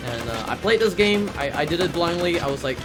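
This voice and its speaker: A man's voice: on the high side, animated, fairly quick. A man giving casual live commentary as a speedrunner, chatty and repeating himself as he goes. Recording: loud game music behind. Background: video game music.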